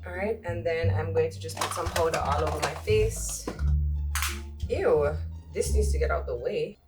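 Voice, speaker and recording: A sniffly, congested woman chats casually in her closet while doing her makeup. She rambles and jokes to herself in a lowish voice. She sits distant from the mic. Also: moderate pace, music in the background. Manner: rambling, joking, self-talk asides. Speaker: vlogger. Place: home closet. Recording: voice from far off the mic.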